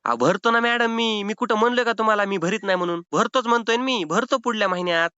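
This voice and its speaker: A man's voice: high-pitched, fast talker, bright, forceful tone, clear, resonant tone, no echo. A man playing a cheeky, evasive rural Maharashtrian borrower with a whiny, argumentative way of stalling in a comic phone call. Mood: placating. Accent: rural Maharashtrian Marathi. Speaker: prank caller playing a loan defaulter. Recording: slightly dull phone-call audio.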